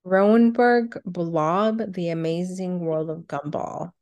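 A woman's voice: on the low side, fairly even intonation, takes it slowly, darkish tone. A woman co-hosting a casual countdown show, reading out entries and reminiscing in a conversational way. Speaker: co-host of a cartoon countdown show.

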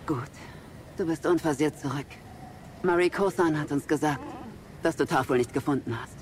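A man's voice: fairly high pitch, normal speed, a little hiss or hum, close to an everyday voice. A man playing a composed, serious former Jedi mentor in a German audio drama. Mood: businesslike.

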